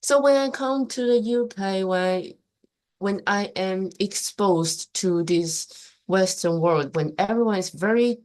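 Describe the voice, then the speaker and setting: A woman's voice: mid-range voice, unhurried, darkish tone. A young woman who grew up in Taiwan, hosting a casual live stream and giving her opinions emphatically in loose English with many restarts.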